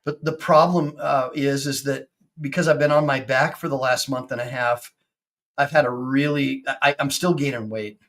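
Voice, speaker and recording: A man in his fifties, a personal injury attorney hosting a casual video-call chat, excitable and full of stammering restarts. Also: mid-range voice, normal speed. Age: 50s.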